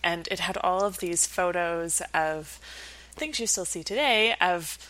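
A woman's voice: fairly low pitch, measured pace, a bit bright, poor audio. A woman speaking as an academic guest in an interview, explaining things in a reflective, conversational way. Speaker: academic researcher as podcast guest.

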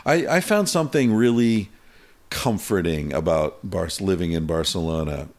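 A man from the United States with a notably low, deep voice. He muses aloud in a rambling, reflective way and swears casually. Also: expressive, moderate pace, a little mellow. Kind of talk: casual conversation.

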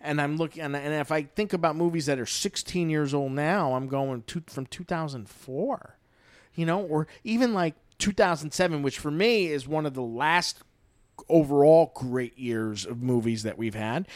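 Guy rambling about movies on a podcast, kinda high voice, super dry close mic. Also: moderate pace, dry recording. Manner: rambling, heavy on "you know" and "I mean". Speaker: podcast guest, film commentator. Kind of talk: casual film podcast chat.

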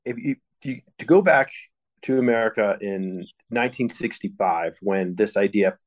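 A man's voice: fairly low pitch, moderate pace. A man speaking as a guest author, telling his story conversationally in an interview. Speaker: guest author and former paramedic.

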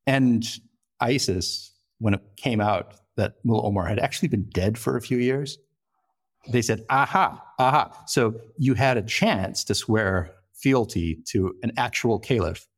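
A man's voice: medium pitch, a little slow. A man giving a conversational expert explanation as an interview guest, articulate but often repeating words as he goes. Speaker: interview guest, foreign correspondent and lecturer.

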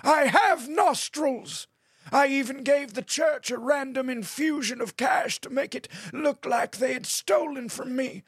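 A man's voice: extremely high pitch, a little slow, slightly rough, no echo, heavily acted. A man acting a megalomaniac villain tycoon in an audio drama, declaiming grandly and theatrically. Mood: smug, scheming, then triumphant, maniacal. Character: megalomaniac male villain tycoon turned self-declared god.

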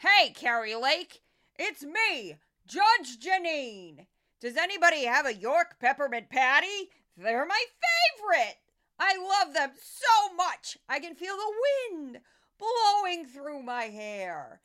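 A brash, boozy lady TV judge for a satirical radio play. The voice is extremely high and piercingly bright, swinging widely as it blusters and declaims. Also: sing-song, takes it slowly, full character voice. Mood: gushing.

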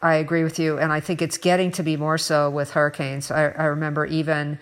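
An American woman co-hosting a conversational podcast, speaking reflectively and haltingly, repeating words as she goes. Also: sits low, little pitch movement. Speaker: podcast co-host. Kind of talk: conversational current-events discussion.

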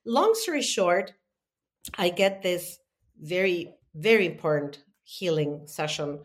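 A woman from Argentina, a podcast guest, talking earnestly in a casual conversation. She restarts her sentences often and jumps up high when she imitates the people she quotes. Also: slow. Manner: earnest and animated, with frequent restarts; voices quoted callers in a high, lively tone.

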